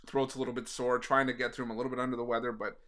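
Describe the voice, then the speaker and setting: A hoarse, slightly raspy man talking casually through a sports betting pick, with plenty of fillers. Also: mid-range voice, little pitch movement, rapid-fire, sharp tone. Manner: conversational, frequent fillers.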